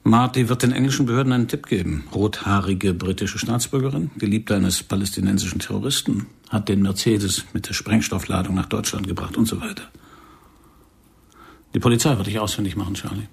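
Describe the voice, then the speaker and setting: Radio drama role: male intelligence handler. Gravelly, rather deep, measured, tense but protective. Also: exaggerated voice. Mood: grave, cautioning. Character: brooding, controlled male secret-service handler, tense, protective.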